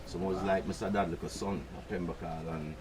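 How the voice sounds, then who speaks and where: A man's voice: low-pitched, fairly even intonation, fairly quick, background noise. An older man with a Jamaican accent, telling rambling stories in patois at a press conference. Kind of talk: relaxed storytelling.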